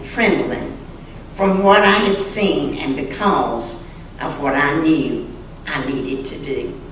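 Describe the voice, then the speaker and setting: A woman reading a story aloud in church in a solemn, dramatic style, with deliberate phrasing and pauses. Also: lowish voice, a bit bright. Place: large church sanctuary. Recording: echoey church hall, distant mic, faint noise.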